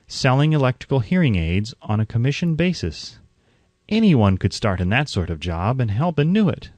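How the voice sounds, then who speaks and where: A man reading an audiobook in a measured, emphatic narrating style. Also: medium pitch, a little mellow, dry recording.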